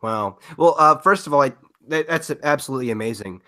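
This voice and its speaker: Male podcast host, nasal from a cold, hesitant and filler-heavy, mid-pitched. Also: lively intonation, a little fast, pushed and bright. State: getting over a cold. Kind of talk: casual podcast interview.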